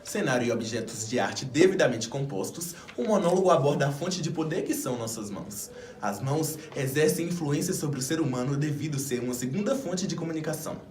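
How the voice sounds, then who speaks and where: A man's voice: a little high, animated, fairly quick, hardly any pauses, a little mellow, natural voice. A man with a Brazilian accent, an actor delivering a scripted theatrical monologue presentation. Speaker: stage actor. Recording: echoey room with faint background noise.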